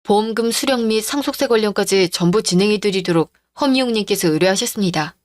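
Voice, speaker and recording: A woman voicing a calm female lawyer in a radio drama, speaking in a formal, businesslike way. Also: medium pitch, quick pace, no echo, natural voice. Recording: dry studio voice-over with faint music.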